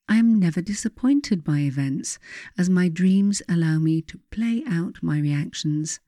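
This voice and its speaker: A woman reading her own self-help writing as a meditative guide, in a measured, softly instructive way with deliberate pauses. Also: lowish voice, no room sound, clean studio sound.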